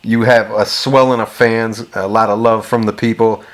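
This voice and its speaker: A man's voice: lowish voice, level tone, moderate pace. A man interviewing a boxer in a casual, friendly and admiring way. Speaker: boxing interviewer.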